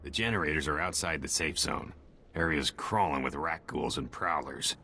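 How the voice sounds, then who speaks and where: A commanding engineering chief in a video game, with an extremely deep, bright-edged voice. He is gruff and blunt, with faint noise behind. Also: moderate pace, full character voice. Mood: businesslike.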